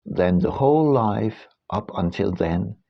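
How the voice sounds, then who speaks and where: A man narrates an audiobook very slowly in a gravelly, creaky voice. His pitch swings widely as he stresses key words, with deliberate pauses between phrases. The recording sounds somewhat dull and lo-fi. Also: expressive. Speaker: author reading their own book.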